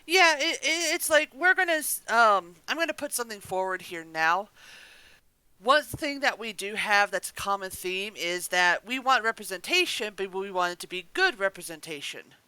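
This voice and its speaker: A woman speaks in a bright, clear voice with lively pitch swings. She chats casually and gives emphatic opinions. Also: moderate pace, dry recording, rough recording. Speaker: panelist. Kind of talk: casual chat.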